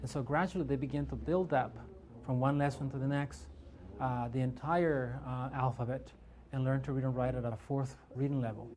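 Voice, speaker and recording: A man's voice: mid-range voice, fairly even intonation, normal speed, a little mellow. A man, a program developer, explaining his work in an interview with some hesitations.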